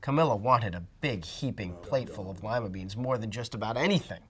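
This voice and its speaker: A middle-aged man reading a children's storybook aloud, animated and dramatic. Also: on the low side, fairly quick. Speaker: storybook reader. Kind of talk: expressive storybook read-aloud.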